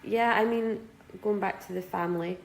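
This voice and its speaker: A woman's voice: mid-range voice, steady tone, moderate pace, creaky, roomy sound, rough recording. A Scottish woman in her twenties answering interview questions casually, often hesitating with fillers and restarts. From Scotland. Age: 20s.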